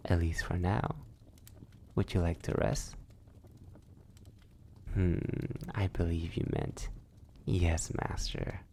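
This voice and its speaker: A man's voice: sits low, fairly even intonation, takes it slowly, vocal fry, long pauses, dark tone, slight background noise, full character voice. A man playing a sadistic, possessive, centuries-old vampire in an intimate ASMR roleplay, silky and menacing, often dropping toward a whisper. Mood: soothing, possessive.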